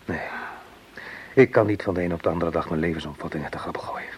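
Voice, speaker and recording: An actor playing a weary, protective soldier corporal in a Dutch radio play, speaking in earnest, halting, soothing phrases. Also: male voice, low-pitched, close to an everyday voice. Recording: noisy old radio play recording.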